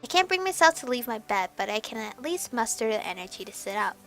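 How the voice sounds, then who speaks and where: A woman reading a visual novel aloud as expressive narration, with animated reactions and some stumbling over words. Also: a little high, fast talker. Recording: background music behind voice. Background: game music.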